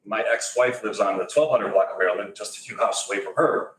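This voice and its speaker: A man in his forties, born in Greece, reads his public comment with a firm, wry edge. His voice sits lowish. It comes from a podium mic some distance off, in a council chamber that echoes. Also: fast talker. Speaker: resident giving public comment.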